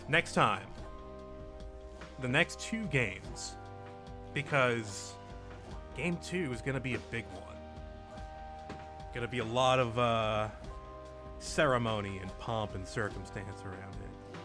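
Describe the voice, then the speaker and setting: A man acting as game master, talking casually and haltingly as he hosts and narrates a tabletop game session. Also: lowish voice. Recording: music playing behind the voice. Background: music.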